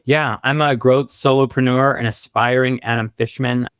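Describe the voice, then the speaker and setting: A man's voice: mid-range voice, fairly even intonation, moderate pace, fairly bright tone, clean tone, dry recording, clean studio sound. A man from Southern California with an American accent, chatting casually as a podcast guest. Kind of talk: casual interview chat.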